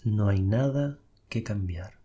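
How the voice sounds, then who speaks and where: Slow, breathy man guiding meditation. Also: on the low side. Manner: soft, unhurried instructions with long pauses. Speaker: meditation guide. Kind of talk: guided meditation.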